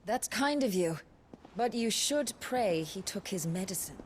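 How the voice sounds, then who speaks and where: A woman's voice: medium pitch, lively intonation, a little slow, natural voice. An actress playing a stern, wry physician in a video game cutscene, dryly scolding but caring, with faint noise around her. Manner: dry, scolding.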